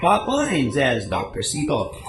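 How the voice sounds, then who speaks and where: A man's voice: medium pitch, huge pitch swings, measured pace. A man announcing from a script in an old-time radio style. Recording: echoey stage recording, clear noise.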